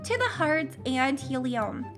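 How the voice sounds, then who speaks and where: A young woman chatters bubbly and gushing over a game, her high voice a little worn because she is losing it. Gasps and giggles slip between her lines. Upbeat background music plays clearly beneath her. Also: big pitch swings, slow pace. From Canada. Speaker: gaming channel host. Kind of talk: casual game commentary.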